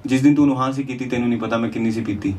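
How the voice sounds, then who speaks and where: A man's voice: mid-range voice, steady tone, quick pace, a little mellow. Male comic, distant noisy sound. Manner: conversational asides between recited rhyming verses. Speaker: stand-up comedian. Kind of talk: lyrical stand-up comedy, rhymed storytelling. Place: small indoor comedy venue on stage. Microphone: handheld microphone.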